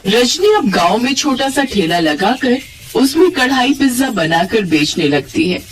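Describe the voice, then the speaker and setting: Woman telling a moral story, very lively pitch, music playing under her. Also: a little slow. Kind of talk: scripted moral-story narration.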